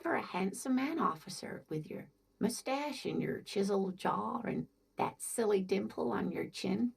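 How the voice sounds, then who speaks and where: A woman voicing a kindly, scatterbrained older married woman in a video game, gentle and doting, with music behind her. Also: medium pitch, animated, normal speed, gravelly, slightly rough, heavily acted. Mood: playful, warm. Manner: gentle, doting, hesitant, calls listener dear. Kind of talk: video game dialogue acting.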